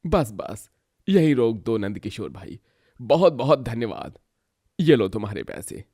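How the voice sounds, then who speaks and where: A male actor voices a kindly, appreciative passenger in a cartoon tale. His mid-pitched voice swings widely in tone, and the recording is close and dry. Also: big pitch swings, unhurried, warm tone, breathy edge, no room sound, full character voice. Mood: friendly, thankful. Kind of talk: Hindi moral story cartoon dub.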